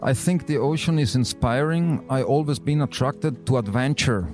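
A man in his thirties from Austria who grew up in Italy, answering interview questions in relaxed, plain-spoken English with halting phrasing. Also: medium pitch, faint music behind. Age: 30s. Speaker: diver and business owner. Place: on a boat in a bay.

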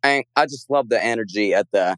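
A man calling into a sports show while losing his voice, speaking haltingly with many pauses and fillers. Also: fairly low pitch, a bit bright, no echo. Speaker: caller. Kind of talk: call-in chat.

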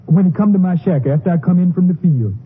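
An actor playing a frightened, deferential farmhand held prisoner in a 1950s radio western, folksy, with a Southern US accent. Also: male voice, high voice, fast talker, phone-quality sound, a little hiss or hum, close to an everyday voice. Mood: pleading. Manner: humble, folksy, respectful. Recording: thin, band-limited 1950s radio recording.

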